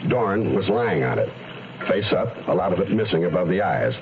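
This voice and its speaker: An actor playing a world-weary private detective in a radio drama, dry, wry and understated in manner. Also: male voice, deep voice, big pitch swings, normal speed. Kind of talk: hardboiled radio drama dialogue and first-person narration. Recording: noisy, tinny phone-like radio recording.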